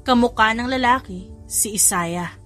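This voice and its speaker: A woman's voice: on the high side, moderate pace, a bit bright. A woman reading a scripted story dramatically, as an audiobook narrator. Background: background music.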